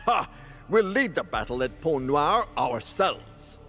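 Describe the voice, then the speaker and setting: Expressive, weighty council leader. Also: male voice, on the high side, slow, soft background music, full character voice. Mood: determined. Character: male war council leader, weighty and determined. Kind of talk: dramatic fantasy game cutscene acting.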